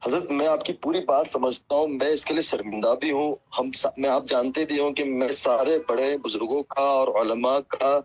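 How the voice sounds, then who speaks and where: Husky man pleading humbly over phone. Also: medium pitch, steady tone, normal speed, hardly any pauses. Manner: humble, apologetic and earnest, pleading at length. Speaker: cleric answering a phone call. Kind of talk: phone conversation. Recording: muffled lo-fi phone call recording.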